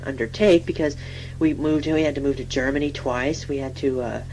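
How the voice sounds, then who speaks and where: A woman's voice: rumbling low, fairly even intonation, moderate pace, a little mellow, rough recording. A woman playing a chatty, self-deprecating young station typist, improvising a rambling, giggly self-introduction in colloquial speech. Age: young adult. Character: chatty, self-deprecating twenty-six-year-old female station typist. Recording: faint hum and noise.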